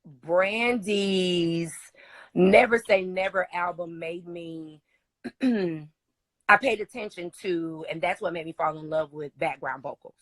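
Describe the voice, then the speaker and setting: A young woman with a low, raspy singer's voice talks as an interview guest, gushing about music with plenty of ums and likes. Her voice sits fairly low and the sound is dry and close. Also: slow pace, no echo, rough recording. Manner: chatty, enthusiastic, many fillers. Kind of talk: casual interview chat.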